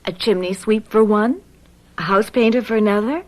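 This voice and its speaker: Actress as shrewd, affectionate young fiancée. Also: female voice, mid-range voice, moderate pace, a little mellow, close to an everyday voice. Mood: shrewd, suggestive. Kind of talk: radio drama acting.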